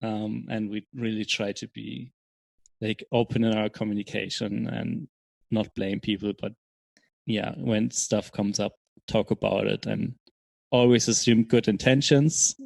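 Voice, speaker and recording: A man answering as an interview guest in casual chat, explaining things in a measured, hesitant way. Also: fairly low pitch, fairly even intonation, vocal fry, a little mellow, no room sound. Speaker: podcast guest, software startup co-founder.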